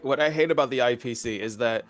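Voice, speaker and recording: A person in a casual video game discussion, talking in an animated, exclamatory and giggly way. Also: medium pitch, fast talker, soft background music. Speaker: guest co-commentator.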